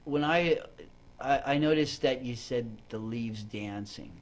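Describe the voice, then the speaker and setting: A man's voice: medium pitch, measured pace. Jokey rambling male radio host. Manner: jokey, rambling with false starts. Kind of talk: casual radio interview.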